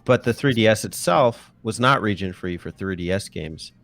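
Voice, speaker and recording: A man's voice: fairly low pitch, lively intonation, normal speed, fairly bright tone, no echo. A man co-hosting a gaming podcast in casual chat, jokey and teasing. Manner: jokey, teasing, mock-preacher bits. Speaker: podcast co-host.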